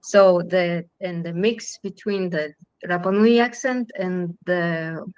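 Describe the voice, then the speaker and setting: A woman filmmaker from Chile with a Chilean Spanish accent, speaking English in a halting way full of ums and restarts. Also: on the low side, darkish tone, clean tone, dry recording. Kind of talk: festival Q&A talk.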